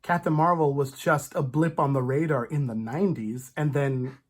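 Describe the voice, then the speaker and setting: A podcast host chatting casually as a fan, in an animated, rambling, stop-start way with playful asides. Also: mid-range voice, normal speed. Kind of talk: casual comic book fan chat.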